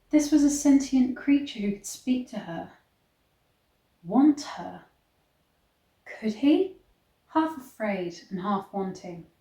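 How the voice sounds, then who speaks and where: A woman reading a romance story aloud in a dramatic, expressive way, stretching words for emphasis. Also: medium pitch. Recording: echoey, roomy sound.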